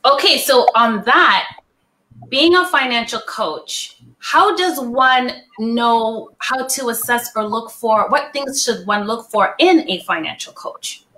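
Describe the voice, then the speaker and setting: A woman hosting an interview, warmly affirming her guests and laughing easily. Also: fairly high pitch, lively intonation, slow, slight background noise, low-quality recording. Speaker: host.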